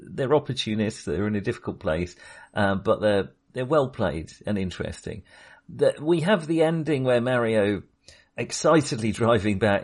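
A man with a husky, slightly low voice co-hosts a film podcast. He talks in a loose, casual way, full of ums and restarts as he works out what he thinks. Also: a little mellow. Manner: hesitant, many restarts and ums. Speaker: podcast co-host.

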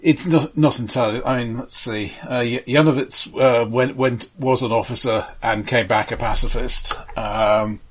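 A man's voice: mid-range voice, steady tone, normal speed, hardly any pauses. A man co-hosting a podcast in casual discussion, often qualifying and correcting what he says mid-sentence. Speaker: podcast co-host.

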